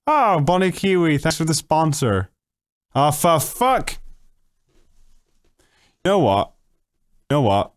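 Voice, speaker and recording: A young man chatting casually on a late-night stream, answering viewer questions, swearing freely and jumping up in pitch when excited. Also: slow, long pauses, dry recording, studio quality. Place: home at night.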